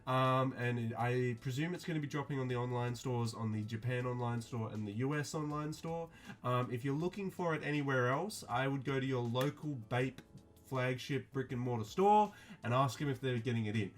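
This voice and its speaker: A man talking casually to his viewers, reviewing a clothing release in a flat, mildly disappointed way with lots of ums and restarts. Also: mid-range voice, moderate pace. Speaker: streetwear vlogger.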